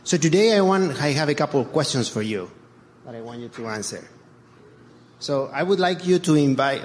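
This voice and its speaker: A middle-aged man from Chile, a church elder giving an earnest, halting reflection to his congregation, with many fillers and restarts. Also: medium pitch, slight background noise.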